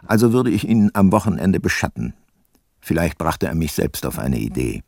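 A shrewd older private detective from a radio drama, with a low, closely dry-recorded voice. He is self-assured and probing, with a measured, dry delivery. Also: sing-song, creaky, a little mellow, no echo, full character voice. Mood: reflective, dry. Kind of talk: radio play narration and dialogue.

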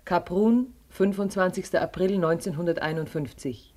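A deep-toned female narrator played by an actress from a 1950s radio play. She delivers short announcements soberly and formally. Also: normal speed, mellow, close to an everyday voice. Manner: terse, formal.

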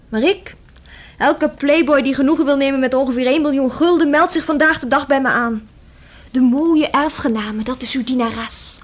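An actress playing a witty, flippant young heiress in a radio play, talkative and theatrical. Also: female voice, sits high, close to an everyday voice.

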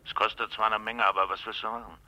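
Casting: older uncle, low and very sharp-edged, resigned, practical, radio crime drama. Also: male voice, little pitch movement, fast, dull audio.

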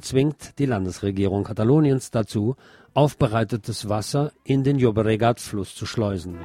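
A man's voice: lowish voice, warm tone, no room sound. A man reading scripted radio news as the presenter.

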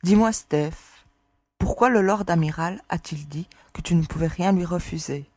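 A self-assured young woman agent played by an actress in a science fiction audio drama. She is direct and frank, with a fairly low pitch and a dry, close sound. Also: normal speed, a little mellow, dry recording, natural voice. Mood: probing. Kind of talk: French audio drama acting.

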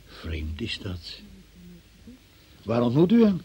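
An actor playing a stern magistrate in a radio drama, questioning a suspect in an insistent, patronising and sceptical manner. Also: male voice, medium pitch, huge pitch swings, slow pace, slightly rough, dull audio, exaggerated voice.

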